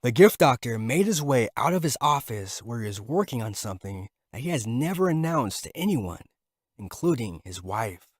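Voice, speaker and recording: A man's voice: medium pitch, very animated, moderate pace, dry recording. A man narrating a scripted fictional story.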